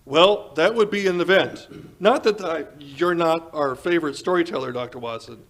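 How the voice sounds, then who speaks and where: A man plays an old-time radio announcer from the 1940s. His voice sits a little high, with polished, emphatic phrasing and the eager push of a sponsor's pitchman. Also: normal speed, a bit bright. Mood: admiring. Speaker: announcer and host.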